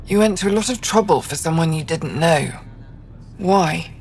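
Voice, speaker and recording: Guarded, low-pitched alien survivor. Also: female voice, normal speed, darkish tone, slight background noise. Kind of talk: video game dialogue.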